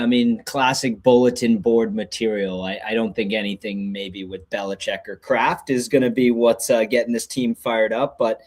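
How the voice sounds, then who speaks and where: A young man giving casual sports opinions as a podcast guest and devoted fan. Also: fairly low pitch. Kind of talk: casual sports analysis chat.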